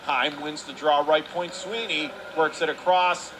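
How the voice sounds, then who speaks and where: Male sports announcer, high-pitched, clipped and excitable hockey calls, light arena noise in the background. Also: a little slow. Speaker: radio play-by-play announcer. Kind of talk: live ice hockey play-by-play commentary. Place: commentary position at an indoor ice rink.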